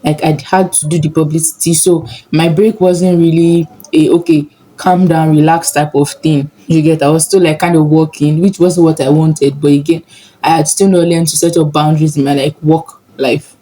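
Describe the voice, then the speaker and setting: A woman's voice: low-pitched, little pitch movement, normal speed, mellow, rough recording. A young Nigerian woman with a Nigerian accent, chatting casually and upbeat in a sit-down vlog. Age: young adult. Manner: chatty, upbeat, laughs often. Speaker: vlogger, medical student.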